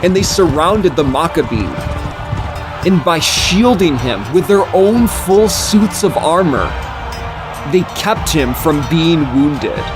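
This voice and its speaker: A male narrator delivers scripture as drama, with a slow and solemn tread. His voice sits a little high for a man. Loud music plays underneath him throughout. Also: expressive.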